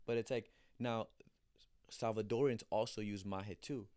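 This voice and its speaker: A young man from Colombia, a former pro soccer player, telling animated stories in a casual interview, acting out quotes and restarting often. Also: lowish voice, a little slow, smooth, dry recording, studio quality. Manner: animated storyteller, acts out quotes, frequent restarts.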